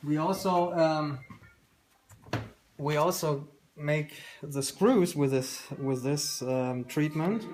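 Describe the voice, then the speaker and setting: Man, thirties, German accent, halting explanatory talk, roomy sound, some background noise. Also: a little high, a little mellow. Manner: halting, searching for words. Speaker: company founder giving a workshop tour. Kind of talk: informal explanatory product tour. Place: small workshop room, indoors. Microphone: handheld camera a couple of metres away. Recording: roomy handheld video, background noise, clicks.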